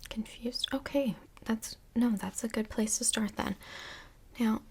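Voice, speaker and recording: A woman plays a polite, tactful female counselor from Hell in an ASMR roleplay. Her voice is whispery and breathy, with some crackly fry in it. She speaks slowly and professionally, pausing to pick her words. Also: fairly high pitch, darkish tone. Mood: calm, tactful. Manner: measured, tactful, professional, hesitant pauses. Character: polite, busy woman filling in as Hell's couples counselor. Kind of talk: soft-spoken ASMR roleplay.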